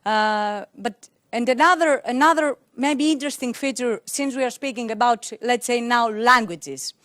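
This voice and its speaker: Young woman, Greek native, halting tech talk. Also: fairly high pitch, fairly bright tone, no echo. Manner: hesitant, many fillers and restarts. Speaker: conference speaker. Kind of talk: technical conference talk. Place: conference room, indoors. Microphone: handheld mic.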